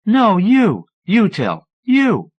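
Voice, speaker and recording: A man's voice: high-pitched, huge pitch swings, extremely slow, lo-fi sound, voice pushed up, exaggerated voice. A man voicing a giggly, nerdy young college girl in audiobook dialogue. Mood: giddy.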